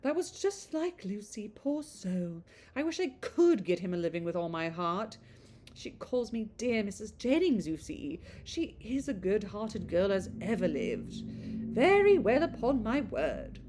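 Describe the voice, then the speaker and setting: Woman playing an emphatic, swooping, garrulous older gentlewoman. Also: medium pitch, big pitch swings, a little slow, slight background noise. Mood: delighted, approving. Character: garrulous, good-hearted older English gentlewoman from Regency novel.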